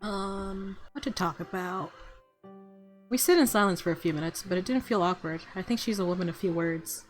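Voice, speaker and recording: A woman plays through a visual novel, reading the narration aloud and breaking off for casual comments. Her manner is playful and gushing, with soft music running behind her voice. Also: on the low side, moderate pace. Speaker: let's play host.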